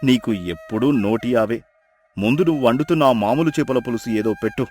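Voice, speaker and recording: A man's voice: mid-range voice, fairly quick, a little mellow, lightly acted. A man playing a kindly, confident village husband, firm and reassuring, in acted moral story dialogue. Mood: hungry, impatient.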